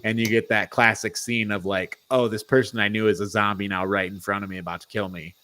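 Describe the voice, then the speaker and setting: Low-voiced man chatting casually. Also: steady tone, brisk, bright, forceful tone. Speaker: podcast co-host. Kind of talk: casual chat about movies.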